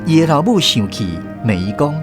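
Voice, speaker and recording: A man narrating a radio drama as host, telling the story with expressive delivery. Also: fairly high pitch, brisk. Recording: music playing under the voice.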